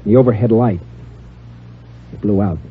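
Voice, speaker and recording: An actor playing a desperate, panic-stricken man who fears the dark, speaking haltingly and melodramatically in 1950s radio drama style. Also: on the low side, natural voice. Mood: anxious. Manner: halting, melodramatic, repeats himself. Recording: dull, hissy old radio recording.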